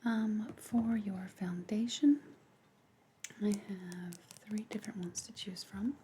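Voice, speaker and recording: A woman whispering softly and unhurriedly in an ASMR roleplay, gently instructing the listener as a makeup artist. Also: mid-range voice, steady tone, slow, slight background noise. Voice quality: breathy whisper.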